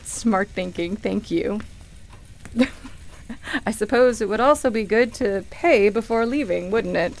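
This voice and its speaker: Actress doing a nostalgic lady amazed in a magic shop, radio drama, slow and halting, bit of background noise. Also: mid-range voice, natural voice. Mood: accepting. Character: wonderstruck, nostalgic woman customer in a magic shop. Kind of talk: radio play acting.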